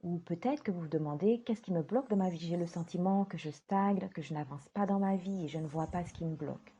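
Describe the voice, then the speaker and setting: A woman life coach speaking straight to her viewers in a gentle, earnest way, stressing her words slowly. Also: lowish voice, slightly rough, lo-fi sound. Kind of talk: direct-to-camera talk.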